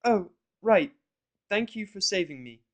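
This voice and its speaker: Male actor as proud little cartoon filly, snarky, grudging, pitched well up. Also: animated, vocal fry, full character voice. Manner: grudging, halting.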